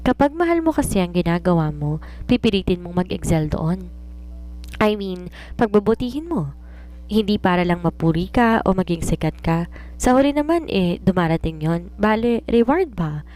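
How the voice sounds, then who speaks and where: A confident woman writer in a story, played by a woman narrator, with a slightly low voice. She is earnest and preachy, explaining things in a self-assured, instructive way. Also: lively intonation, normal speed, a little mellow, close to an everyday voice. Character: confident, preachy woman romance writer advising her maid. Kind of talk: audiobook character dialogue.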